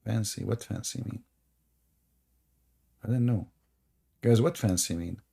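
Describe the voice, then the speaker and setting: Gravelly, sarcastic man with an Arabic accent. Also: lowish voice, a little slow, dark tone. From Middle East. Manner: sarcastic, teasing, jokey. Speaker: live stream host. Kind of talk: mocking commentary on a live stream.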